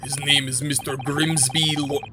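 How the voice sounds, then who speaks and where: A player voicing a stern male ship guard from a video game in a mock Russian accent and broken English, speaking louder and slower than their own voice. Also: medium pitch, noisy background, pitched below the performer's own voice, natural voice. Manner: stern, haughty, dismissive. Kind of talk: reading a video game character aloud.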